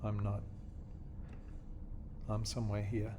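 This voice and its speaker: A man's voice: on the low side, monotone, slow pace, noisy background. Patient man explaining a lesson. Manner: patient, checks in with "okay?" and "yeah?". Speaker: teacher.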